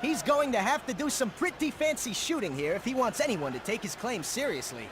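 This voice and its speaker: An actor playing a keen co-commentator at a televised contest in a video game, with broadcast patter. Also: male voice, very high voice, sing-song, a little fast, noisy background. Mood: keen, informative.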